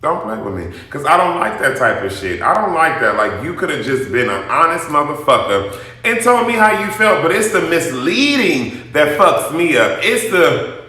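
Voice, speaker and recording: Male storytime host, highish forceful voice, blunt, sweary and emphatic, recorded in a very reverberant room. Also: very animated, moderate pace. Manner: emphatic, blunt, profanity-laden, addresses listeners directly. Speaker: storytime host addressing an audience. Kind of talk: casual animated storytelling, rant. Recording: very echoey room.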